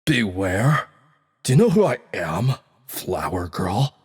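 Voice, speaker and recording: A man's voice: on the low side, big pitch swings, slow pace, breathy edge, heavily acted. A man voicing a brash young male god who talks in a sneering, condescending, streetwise way in an audiobook. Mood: cocky, threatening.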